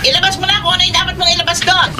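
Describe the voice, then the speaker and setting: A woman's voice: extremely high pitch, level tone, very fast. A woman with a Filipino accent playing a callous, bossy employer in a radio drama, snapping curt, nagging orders. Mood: bossy, dismissive. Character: bossy, callous female labor agency boss. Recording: loud background noise.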